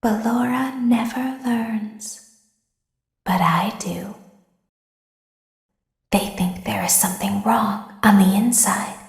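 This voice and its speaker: Creepy robot girl voiced by a woman, slow breathy whispers, kinda high, echoey room. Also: steady tone. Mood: menacing whisper. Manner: soft menacing whisper. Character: sinister girlish female animatronic, calm and cruel. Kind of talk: fan voice acting of game lines.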